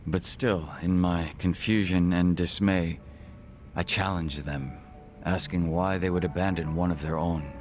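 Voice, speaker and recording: A man voicing a refined, reserved gangster in a video game cutscene, speaking in formal, measured, polite phrases. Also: low-pitched, fairly even intonation, faint music behind. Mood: grave, reflective. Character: refined, reserved Korean gangster, a former body double.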